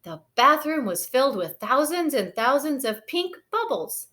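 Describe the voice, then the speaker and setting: A woman storyteller reading a children's picture book aloud in an expressive storytelling style. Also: high-pitched, unhurried.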